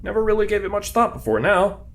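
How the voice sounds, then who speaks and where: A man's voice: sits high, big pitch swings, fast talker, a bit bright, exaggerated voice. A man playing a curious, talkative new government agent in an audio drama, conversational, questioning and easily flustered. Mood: earnest, questioning.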